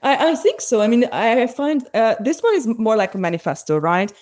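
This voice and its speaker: A woman's voice: a little high, animated, moderate pace, a little mellow. A woman from Hungary hosting a podcast interview, thoughtful and searching for her words as she asks questions. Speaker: podcast host. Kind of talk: conversational interview.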